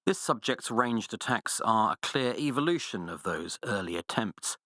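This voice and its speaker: An actor playing a cold, pompous, sadistic scientist villain in a video game, speaking with condescending, precise diction. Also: male voice, on the low side, expressive, moderate pace, sharp tone, dry recording, clean studio sound. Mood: clinical detachment. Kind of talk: video game villain voice acting, monologues and research logs.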